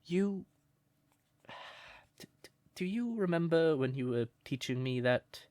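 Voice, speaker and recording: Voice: medium pitch, expressive, unhurried, a little mellow, no room sound, natural voice. A performer voicing a shy, smitten crow turned human in an intimate comfort roleplay, hesitant and stammering, naively earnest. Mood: flustered, shy.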